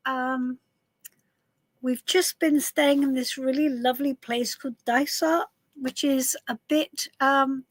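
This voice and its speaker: A middle-aged woman from southwest London, her voice a little husky, chatting casually to viewers on a live stream from inside a camper van, calling people darling. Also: high voice, no echo, poor audio. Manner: chatty, warm greetings to viewers, calls people darling and love. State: a bit husky voice. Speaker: tarot reader hosting a live stream.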